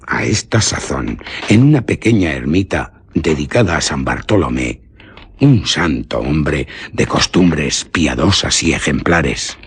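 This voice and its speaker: A man with an accent from Spain narrating a scripted suspense tale for radio theatre in a solemn, measured, literary manner. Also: a bass voice, slightly rough.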